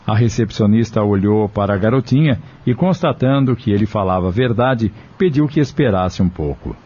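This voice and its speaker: A Brazilian man narrates an audio novel from a script. His voice is fairly low, mellow and husky. The recording is a touch muffled. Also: lively intonation, moderate pace.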